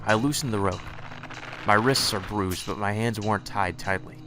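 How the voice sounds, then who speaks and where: A man's voice: on the low side, normal speed, over music, poor audio. A man reading visual novel text aloud with casual commentary, sometimes stumbling over words and correcting himself.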